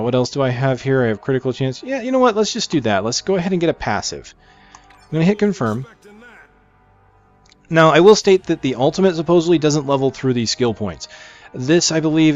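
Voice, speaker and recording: A man's voice: mid-range voice, a little mellow, dull audio. Man narrating gameplay over music. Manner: conversational, thinking aloud, self-correcting. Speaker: gamer presenting a game preview. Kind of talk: casual gameplay commentary, explaining as they play. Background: music behind the voice.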